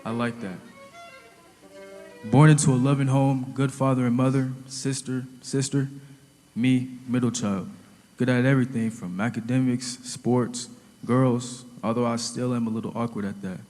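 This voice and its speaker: A man plays a teenage boy telling the story of his own death in an audio drama. He is wry and conversational, with a mid-range male pitch. The sound carries a long reverb. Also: steady tone, normal speed, a little mellow, soft background music, lightly acted. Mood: easygoing. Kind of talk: audio drama first-person narration.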